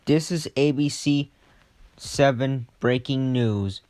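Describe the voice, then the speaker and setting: A man's voice: mid-range voice, slow pace, heavy background noise, lightly acted. A man playing a chatty breaking-news anchor in an amateur mock news report, speaking informally. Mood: informal, urgent.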